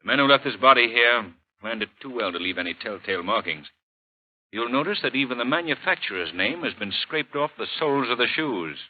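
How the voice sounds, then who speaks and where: An actor playing a suave, observant gentleman detective in a 1930s radio drama, speaking with polished, courteous deliberation on a muffled old broadcast. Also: mid-range voice, animated, brisk, lightly acted. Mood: calm, observant.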